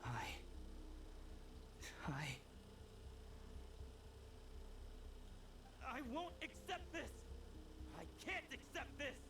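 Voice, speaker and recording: An actor playing a stubborn, emotional young man in a video game, with a strained, halting, anguished delivery. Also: very high voice, huge pitch swings, creaky, far from the mic, background noise, heavily acted. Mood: desperate denial. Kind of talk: dramatic video game voice acting.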